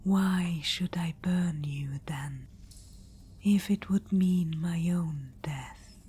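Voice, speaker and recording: A woman voicing a regal undead witch-queen in an ASMR roleplay, speaking in a soft whisper and calling the listener darling. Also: on the low side, extremely slow, slightly rough, heavily acted. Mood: diplomatic. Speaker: ASMR performer.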